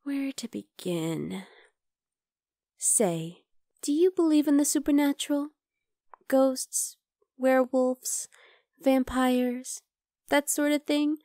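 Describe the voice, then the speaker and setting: A woman's voice: high voice, slow, pauses often, no room sound. Woman acting as vampire woman, chatty, coaxing, coyly obsessive, ASMR roleplay, very dry close sound. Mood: teasing, curious. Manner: conversational, teasing, coaxing, with soft asides. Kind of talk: ASMR roleplay monologue addressed to the listener.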